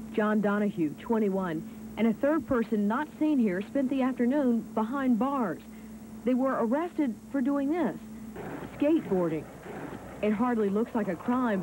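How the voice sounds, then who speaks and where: Female TV reporter, slightly high voice, clear background noise. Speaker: news reporter. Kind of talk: scripted report and field interview. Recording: noisy field news recording.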